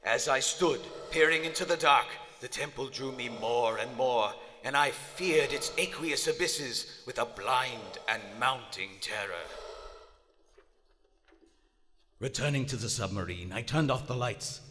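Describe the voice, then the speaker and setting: A man playing a proud German WWI submarine commander slipping into madness, narrating formally and deliberately in a live radio drama. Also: medium pitch, bright, forceful tone, natural voice. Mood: grim, brooding. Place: large theater stage. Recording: live theater stage, long reverb, music underneath.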